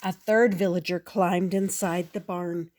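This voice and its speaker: An older woman reading a picture book aloud as the regular storyteller, slow, gentle and expressive. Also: on the low side, a little hiss or hum.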